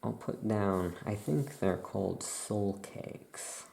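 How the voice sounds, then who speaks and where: A soft-spoken ASMR creator playing a witch shopkeeper, musing aloud in an unhurried way. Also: deep voice, gravelly, echoey room, slight background noise.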